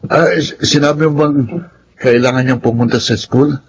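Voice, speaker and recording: An actor playing a mild young brother in a Filipino radio drama. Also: male voice, medium pitch, fairly even intonation, normal speed, a little hiss or hum, lightly acted, low-quality recording. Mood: calm.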